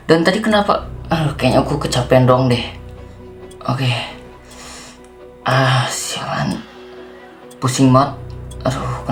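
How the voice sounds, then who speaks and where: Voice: mid-range voice, lightly acted. A man playing a weary, jumpy zombie apocalypse survivor in a Minecraft roleplay, muttering and exclaiming to himself in casual slang. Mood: startled, dazed. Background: background music.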